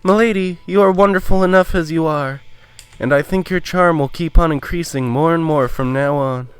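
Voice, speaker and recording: Man acting a young maid girl, polite, soft, earnest, raised pitch, drama reading. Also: moderate pace, clean tone, lightly acted. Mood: earnest, reassuring. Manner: soft, deferential, earnest reassurance.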